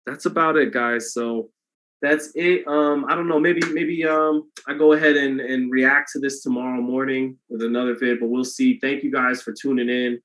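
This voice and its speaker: Monotone male boxing livestream host. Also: medium pitch, moderate pace, low-quality recording. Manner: monotone delivery, self-described; loose and rambling, many restarts. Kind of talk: casual livestream chat, reading donations.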